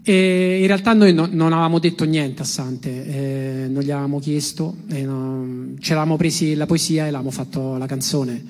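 Colloquial man, even higher-set voice. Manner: colloquial, halting, searching for words. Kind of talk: informal personal recollection.

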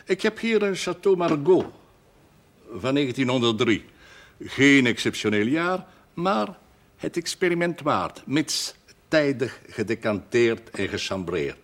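A pompous older parish priest in a TV drama. His intonation swings widely as he delivers ornate, deliberate sentences with great self-satisfaction. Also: medium pitch, animated. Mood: pompous, stately. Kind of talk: television drama acting.

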